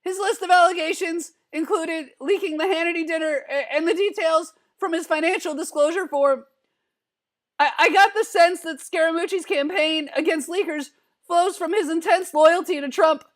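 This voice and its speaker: A man's voice: very high-pitched, normal speed. A man voicing a nervous, timid adolescent cartoon boy, stuttering through narration in a comedic dramatic reading. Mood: hesitant. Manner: anxious stuttering, repeated word starts.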